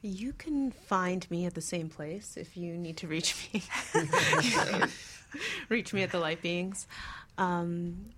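A woman speaking casually as a talk show guest, a doula, in measured phrases with pauses. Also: on the low side, lively intonation, a bit bright, a little hiss or hum.

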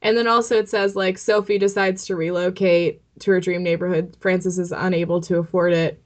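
A woman's voice: fairly low pitch, flat delivery, a little fast. A woman co-hosting a podcast in an informal, opinionated way, sometimes reading aloud and sometimes chatting. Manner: opinionated, informal, laughs easily. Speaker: podcast co-host and producer. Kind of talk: casual chat with read-out synopsis.

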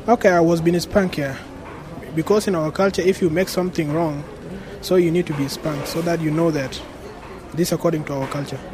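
Casting: young male vox-pop interviewee, South Sudanese accent, lightish high pitch, crowded outdoor recording. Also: fairly even intonation, a little fast. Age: young adult. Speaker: street interviewee. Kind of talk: casual street interview. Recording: busy outdoor street with crowd.

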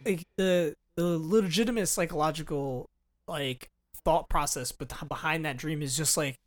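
Co-host role: young American man, fairly high voice, blunt, slangy, loose conversational delivery that circles back on itself. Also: normal speed. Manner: slangy, blunt, repeats and rephrases himself. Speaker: podcast co-host. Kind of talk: casual chat.

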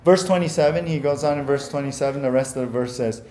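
A man's voice: mid-range voice, fast, noisy background. A man preaching a sermon as pastor, earnest and conversational, often repeating words and using fillers, whose first language was Japanese.

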